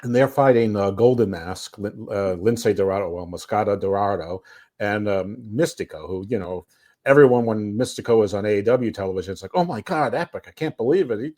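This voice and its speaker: A man's voice: fairly low pitch, lively intonation, brisk, darkish tone. A man co-hosting a podcast, reviewing wrestling in casual chat, rambling with restarts and chuckles. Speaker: podcast co-host.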